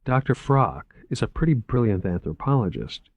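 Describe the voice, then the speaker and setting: Man doing calm young woman scientist, measured, pretty much his own voice, dry. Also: mid-range voice, no room sound, pitched above the performer's own voice. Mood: cool.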